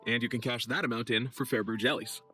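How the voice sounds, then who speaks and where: A man's voice: medium pitch, steady tone, fast talker, loud music behind the voice, lightly acted. A man playing an earnest, overly polite knight's logistics clerk in a video game, formal and a little nervous. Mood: polite, explanatory.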